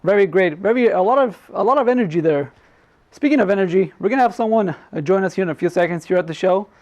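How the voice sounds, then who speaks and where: A man's voice: sits high, animated, quick pace, darkish tone, highs cut off. A man hosting a comedic talk show in a casual, joking way, often repeating himself.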